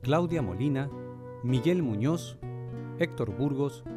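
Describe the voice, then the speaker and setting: A man's voice: medium pitch, lively intonation, takes it slowly. A man reading scripted closing credits as a radio announcer. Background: guitar music.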